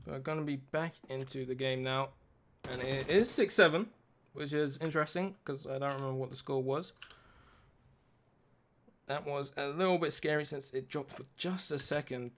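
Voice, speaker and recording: A male esports caster calls a shooter match play by play, his voice worn and slightly raspy from the late hour. He keeps up the running commentary alone from a home setup. A faint hiss sits under his voice. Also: fairly high pitch, steady tone, moderate pace, a bit bright. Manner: self-deprecating, talks through tech trouble.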